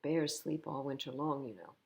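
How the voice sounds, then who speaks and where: A woman's voice: low voice, moderate pace, creaky, darkish tone. A woman reading a picture book aloud to young children, expressively, with explanatory asides about the pictures. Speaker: children's storytime reader.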